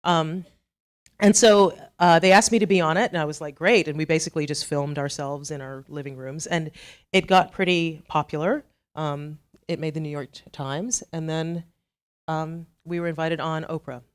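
A woman's voice: sits low, fairly even intonation, moderate pace, clear, resonant tone. Trinidadian woman telling her story on stage. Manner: chatty, self-deprecating storytelling with asides. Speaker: conference speaker. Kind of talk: conference talk. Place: conference hall.

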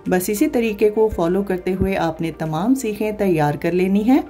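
Female cooking host, warm low-key delivery, instructional voice-over. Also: moderate pace, faint music behind. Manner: calm step-by-step explaining, addresses viewers directly. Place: voice-over, not recorded in the pictured kitchen.

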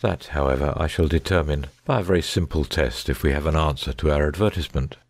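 A man playing a shrewd, composed gentleman detective in a dramatic audiobook reading, precise and measured, with quiet authority. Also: a bass voice, no echo. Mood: composed, genial.

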